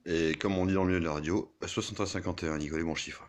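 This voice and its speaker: A man's voice: low voice, steady tone, rapid-fire. A man who is an amateur radio operator, chatting and muttering casually in a blunt, sweary, grumbling way.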